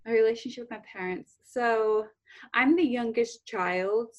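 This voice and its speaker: A woman's voice: a little high, a little slow, muffled recording. A young woman from the Pacific Northwest, a guest in a casual interview, chatty with frequent laughs and fillers. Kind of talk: casual conversation.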